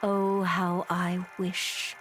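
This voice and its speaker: An actress playing a wistful former movie star in an old television drama, slow and theatrical in her longing. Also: on the low side, flat delivery. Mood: dreamy yearning. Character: wistful, delusional former film actress pining for the past. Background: prominent music.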